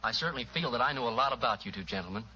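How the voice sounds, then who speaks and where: Very bright, brisk American man. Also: mid-range voice, lively intonation. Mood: friendly. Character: brisk, friendly American brother of a singer. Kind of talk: old-time radio drama acting. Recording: muffled old radio recording, faint noise.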